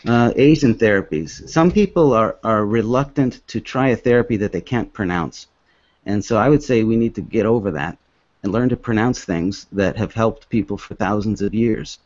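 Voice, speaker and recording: A man in his fifties who grew up in Hong Kong, giving an earnest, halting presentation as an author with many restarts. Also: fairly low pitch, a little mellow, no room sound. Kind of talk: presentation with slides. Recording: dull, dry lo-fi recording.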